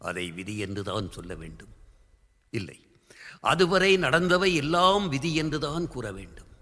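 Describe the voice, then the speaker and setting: A man's voice: medium pitch, very animated, unhurried, pushed and bright. An actor playing a proud, guilt-ridden king in a historical radio drama, declaiming formally. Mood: confessional. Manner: declamatory, formal classical diction. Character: proud, guilt-ridden Tamil king confessing to his queen. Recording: long hall-like reverb.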